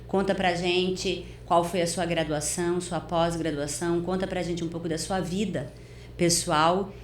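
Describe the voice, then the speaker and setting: A woman with a Brazilian accent and a lowish voice hosts a podcast interview. She speaks effusively, with plenty of emphasis. The sound is roomy and echoing, with a faint noise behind her. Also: fairly even intonation, unhurried. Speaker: interview host. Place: recording studio.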